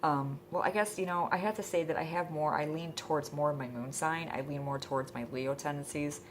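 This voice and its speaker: Late-20s woman, stuffed up with a cold, low voice, lots of um, bit of faint hiss behind. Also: a little fast. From Michigan. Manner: rambling, hesitant, full of ums. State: congested from a cold. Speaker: vlogger. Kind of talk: casual introduction vlog. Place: indoors at home.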